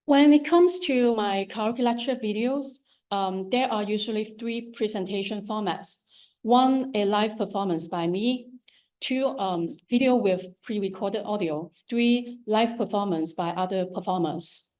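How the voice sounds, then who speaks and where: A woman's voice: mid-range voice, measured pace, a little mellow, poor audio. Woman with a strong Cantonese accent. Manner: hesitant, many ums and restarts. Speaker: visual artist giving a talk. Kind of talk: lecture on her art practice.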